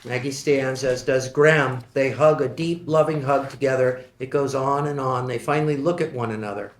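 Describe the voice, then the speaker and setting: A man reading stage directions aloud at a screenplay table read, steady and matter-of-fact. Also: mid-range voice, normal speed. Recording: echoey room.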